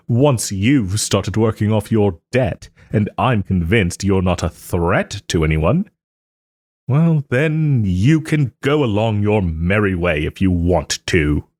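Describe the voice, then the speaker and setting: A man playing a smug but soft-hearted nobleman and former lover in an audio roleplay, suave and refined, with gentle teasing and hesitant pauses. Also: fairly low pitch, animated, no echo, clean studio sound. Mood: measured, reasoning. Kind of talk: audio roleplay, dramatic monologue to listener.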